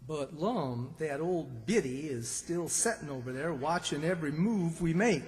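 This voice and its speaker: A man's voice: a little high, lively intonation, unhurried, a little mellow. A man playing a flustered, folksy older country character with a rural Southern American accent in an old-time radio comedy. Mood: worried. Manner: folksy, fretful, exclaiming. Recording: stage performance in reverberant hall.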